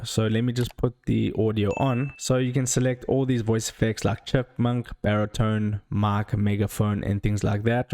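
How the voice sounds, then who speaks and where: A man's voice: fairly low pitch, dark tone. A young man hosting a tech tutorial, explaining steps plainly into a handheld foam-covered microphone in a small room. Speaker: tutorial host. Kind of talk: screen-recorded tech tutorial.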